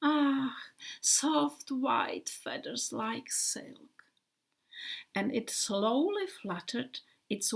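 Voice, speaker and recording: A woman voicing a male bomber airplane who tells his wartime tale in a slow, dreamy way with hushed passages. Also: a little high, gravelly, exaggerated voice. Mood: awed.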